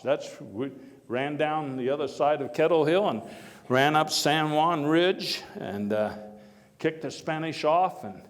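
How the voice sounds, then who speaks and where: A man playing a hearty, opinionated former American president, telling his stories to an audience in a halting, wry and emphatic way. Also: medium pitch. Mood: proud, storytelling. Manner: halting, storytelling, wry chuckles, emphatic bursts. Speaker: living-history performer giving a talk and taking audience questions. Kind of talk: first-person historical dramatization before an audience. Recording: echoey hall with long reverb.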